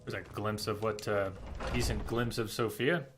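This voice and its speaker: A man's voice: on the low side, steady tone, moderate pace. A man streaming a video game, thinking aloud in casual, musing commentary. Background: game music and rain.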